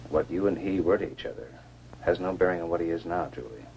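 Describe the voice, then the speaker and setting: A man's voice: low voice, expressive, fast, vocal fry, darkish tone, low-quality recording. An American actor playing a stern, pragmatic man who takes command, in 1950s science fiction film style. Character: stern, pragmatic man leading a monster hunt. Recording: hissy, humming 1950s film soundtrack.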